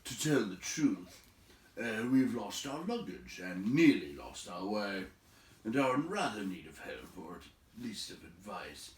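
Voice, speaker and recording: A man voicing a courteous, cautious old storybook wizard who speaks politely and haltingly. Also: lowish voice, big pitch swings. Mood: courteous, pleading. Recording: echoey room, distant from mic.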